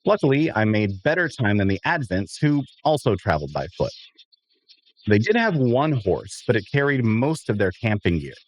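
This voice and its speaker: An actor playing an awkward, bookish loner adventurer who narrates an audio drama in a wry way. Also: male voice, lowish voice, big pitch swings, normal speed, rough recording. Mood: wry narrating. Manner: wry, hesitant in conversation. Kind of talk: first-person narration and dialogue in audio drama.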